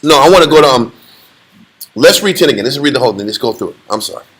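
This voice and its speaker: A man's voice: mid-range voice, animated, fast, fairly bright tone. Slangy, joking Bible study leader, a man. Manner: blunt, joking, slangy, emphatic. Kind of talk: casual Bible teaching.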